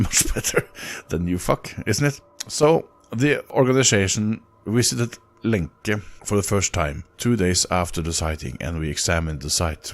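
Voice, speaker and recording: A man with a Norwegian accent reading sighting reports aloud in an unhurried way, breaking off for casual, halting comments. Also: low-pitched. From Norway. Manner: unhurried, halting in asides, chuckles at own slips. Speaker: narrator of UFO reports.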